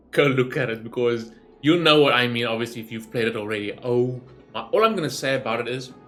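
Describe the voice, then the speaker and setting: A man's voice: medium pitch, a bit bright, slight background noise. A man giving casual, rambling game reaction commentary in an informal way, as a gaming YouTuber.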